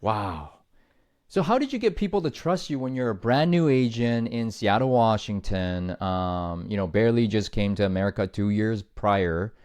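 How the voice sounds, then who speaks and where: Low-voiced host who keeps saying "guys". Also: male voice, lively intonation, moderate pace, a little mellow. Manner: eager, addresses the audience as "guys", repeats guest's points. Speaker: podcast host. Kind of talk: podcast interview.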